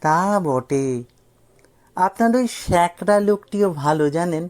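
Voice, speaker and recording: A cheeky, precocious young man from an audio story, played by a male storyteller. He teases politely with false naivety, in a raised pitch. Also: unhurried, a little mellow. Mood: feigned innocence. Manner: teasing, feigned innocence.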